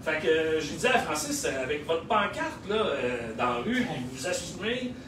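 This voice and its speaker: A man's voice: a little high, big pitch swings, moderate pace. A man with a Québécois accent, an event organizer giving a hesitant speech full of fillers at a local launch. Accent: Québécois French. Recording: echoey room, heard from a distance, noisy.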